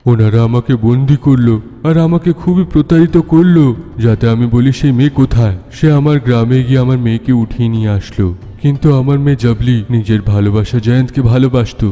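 A man acts out the ghost of a sculptor mourning his child in an animated horror tale. His tone is very dark and mellow, drenched in long reverb over music. He recounts his sorrow in measured, solemn phrases. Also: mid-range voice, close to an everyday voice. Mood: sorrowful. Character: ghost of a grieving sculptor father. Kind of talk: dramatic Bengali horror-tale narration.

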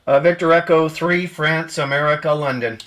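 A man working as an amateur radio operator, spelling call signs in a clipped, deliberate, repetitive way. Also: on the high side, fairly even intonation, noisy background. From Canada. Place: indoor radio desk, beside the transceiver.

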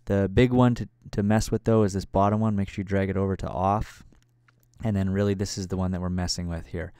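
A man narrating a casual software tutorial in an informal, loosely worded, step-by-step way. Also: deep voice, flat delivery, a little fast, clear, resonant tone, dry recording, clean studio sound. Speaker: tutorial presenter.

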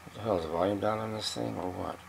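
A middle-aged man giving a casual, halting, folksy hands-on demonstration, thinking aloud at a workbench. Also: fairly low pitch, creaky. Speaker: radio restorer demonstrating a repair. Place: cluttered indoor electronics workbench. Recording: loud background noise and radio hum.

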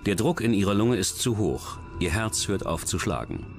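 Deep-voiced male documentary narrator over music. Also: sing-song, moderate pace. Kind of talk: scripted true-crime narration. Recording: music under the voice.

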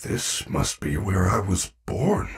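A man voicing a gentle, earnest animatronic bear in a video game, speaking formally and carefully with few contractions. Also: deep voice, sing-song, slow, gravelly, breathy edge, recorded from a distance, exaggerated voice. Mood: earnest, concerned.

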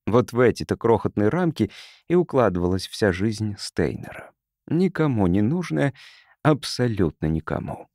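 A man's voice: fairly low pitch, sing-song, moderate pace, a little mellow, no echo, studio quality. A man reading fiction as an audiobook narrator, in a scripted storytelling style.

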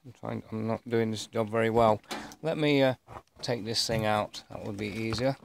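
A middle-aged man giving a casual unboxing commentary, rambling and thinking aloud with halting phrases as he films by hand indoors. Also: lowish voice, gravelly, background noise. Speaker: vlogger showing his own gear. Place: indoors, bedroom, filming over a bed. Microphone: handheld camera or phone held by the speaker.

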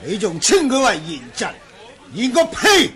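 An actor with a Hong Kong Cantonese accent playing an explosive, blustering martial arts master in a radio drama. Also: male voice, very high voice, huge pitch swings, measured pace, bright, forceful tone, full character voice. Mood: enraged. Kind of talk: 1980s radio drama acting.

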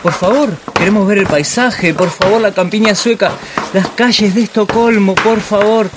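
Actor as pompous master chef, kitchen clatter. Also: male voice, high voice, moderate pace, very noisy, lightly acted. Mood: musing, pompous. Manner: pompous, digressive, theatrical monologuing. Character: pompous, bullying male master chef, dreamy film snob. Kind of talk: radio play acting.

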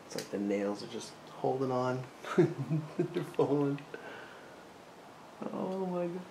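Gravelly American man, animated and wry. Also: mid-range voice, slow pace. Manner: wry, muttering asides to himself. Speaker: urban explorer vlogger. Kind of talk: casual exploring vlog narration. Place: inside and behind a derelict abandoned house. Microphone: handheld camera mic. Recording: noisy handheld camera audio.

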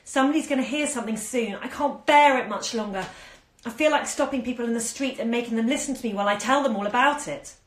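Bitter, desperate young woman, clipped and loud, read by a woman storyteller. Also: on the low side, fairly quick, roomy sound, lightly acted. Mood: anguished.

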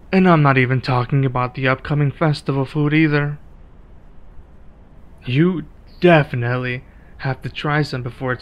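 A man acting a one-sided ASMR roleplay as a laid-back, friendly werewolf local, speaking casually and in a measured way with pauses. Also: on the high side, muffled recording.

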